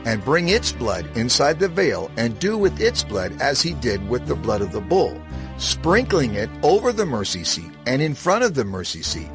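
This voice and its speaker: Male narrator for audio scripture. Mid-pitched, widely varied intonation, formal, measured delivery. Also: wildly swooping pitch. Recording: prominent music bed under narration. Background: music.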